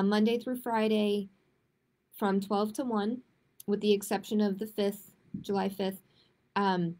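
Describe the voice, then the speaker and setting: A woman hosting a children's storytime, reading picture books aloud and chatting to families in an expressive way. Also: mid-range voice. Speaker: children's librarian, storytime host. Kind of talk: picture book read-aloud and chatty announcements to young families.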